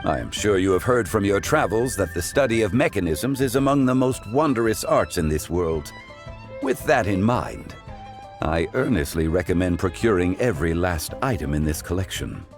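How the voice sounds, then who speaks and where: A composed ancient gentleman, lowish voice, lively intonation, formal and courteous, game character. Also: soft background music. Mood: calm. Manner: formal, measured, courteous and eloquent. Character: ancient, composed male consultant of refined taste. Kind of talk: video game voice acting.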